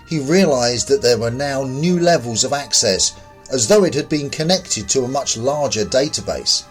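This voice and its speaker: A man's voice: medium pitch, rough recording. A man reading scripted fiction as the narrator of an audio story. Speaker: author and narrator.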